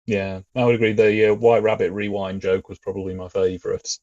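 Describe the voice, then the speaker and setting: A man's voice: fairly low pitch, little pitch movement, fairly quick, a little mellow, no room sound, highs cut off. A man chatting casually as a guest reviewer on a podcast.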